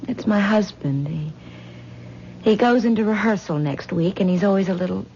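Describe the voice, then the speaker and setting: An actress playing a gentle, weary wife who speaks softly and hesitantly, in a 1970s radio drama. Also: female voice, medium pitch, moderate pace, natural voice. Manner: soft, hesitant. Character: gentle, weary young wife away from home. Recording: dull old radio broadcast recording with faint hiss.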